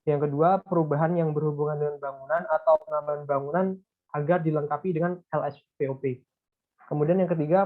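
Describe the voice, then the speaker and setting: Casting: young male student, slightly high voice, formally reading prepared answers with halts, heard through a narrow phone-like line. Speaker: student presenter. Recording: tinny phone-like call audio.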